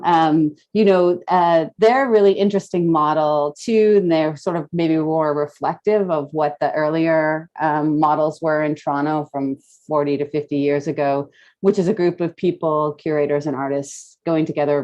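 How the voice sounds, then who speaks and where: A woman's voice: sits low, dark tone, lo-fi sound. A middle-aged woman telling stories casually as a guest in an online talk, reflective and hesitant as she feels her way along. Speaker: guest speaker, gallery curator.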